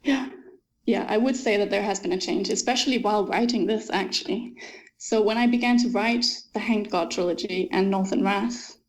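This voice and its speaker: A woman's voice: medium pitch, poor audio. Thoughtful Danish woman in conversation. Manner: thoughtful, laughs often, pauses with ums. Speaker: author guest. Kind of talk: interview conversation.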